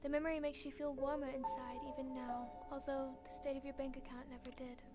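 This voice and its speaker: A woman's voice: sits high, little pitch movement, brisk. A woman reading a story game's text aloud in second person, making light asides now and then. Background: loud game music.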